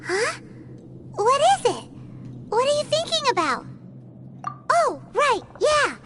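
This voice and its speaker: An actress playing a tiny, chatty, excitable fairy companion in a video game, with a squeaky, childlike voice. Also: female voice, slow pace, background noise, heavily acted. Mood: relieved. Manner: excitable and exclaiming.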